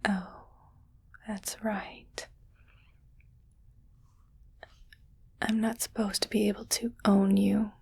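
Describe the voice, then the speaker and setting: Wealthy alien menagerie owner, played by a woman: hushed, very breathy ASMR delivery, unhurried, condescending, sweetly possessive. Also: mid-range voice, level tone, exaggerated voice. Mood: sly, secretive. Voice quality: breathy whisper. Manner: slow, condescending, coaxing. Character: smug, wealthy female alien collector of human pets. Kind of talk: ASMR roleplay, whispered monologue.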